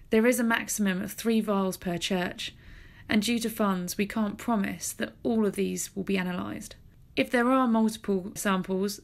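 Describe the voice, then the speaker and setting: A woman with a gravelly, creaky voice reads a scripted voice-over. She gives slow, careful directions one step at a time. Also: mid-range voice. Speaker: project presenter.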